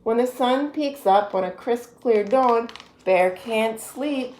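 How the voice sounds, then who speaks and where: A woman reading a children's picture book aloud in an expressive, deliberate storytelling manner. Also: fairly high pitch, reverberant, slight background noise, low-quality recording. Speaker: story-time reader, adult with a young child.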